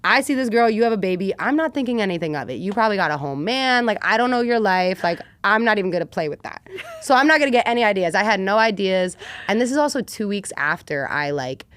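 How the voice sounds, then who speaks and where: A woman talking casually as a podcast guest, animated and slangy, telling personal stories and acting out what people said. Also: mid-range voice, fast, dry recording. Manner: animated, slangy, acts out quoted lines. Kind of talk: casual personal storytelling in conversation.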